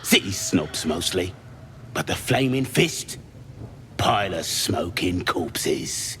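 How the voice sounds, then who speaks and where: An actor playing a rough, cocky, crude goblin raider in a video game, his voice rasping. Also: sits low, lively intonation, slow, background noise. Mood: gloating. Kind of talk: video game character acting.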